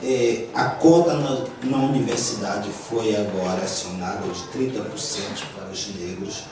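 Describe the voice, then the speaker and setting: A man from Bahia with a Brazilian accent, speaking from the audience at a public discussion, slowly and thoughtfully, with many hesitations. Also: fairly low pitch, fairly even intonation. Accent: Brazilian Portuguese, from Bahia. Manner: slow, thoughtful, with repeated hesitations. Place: café hall, live event. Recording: roomy echo, distant mic, background noise.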